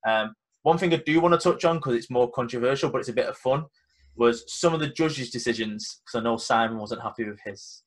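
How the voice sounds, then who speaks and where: A young man hosts a casual podcast in a confident, emphatic way. When he retells conversations, he acts out each side of the exchange. His voice sits at an ordinary pitch for a man. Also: brisk. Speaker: podcast host and event organizer. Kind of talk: casual chat.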